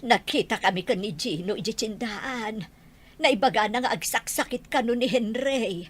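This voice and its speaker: Woman acting a husky, anxious old Ilocano mother. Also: a little high, moderate pace. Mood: plaintive. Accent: Ilocano Filipino. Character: anxious, devoted old mother longing for her son. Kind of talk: Ilocano audio drama acting.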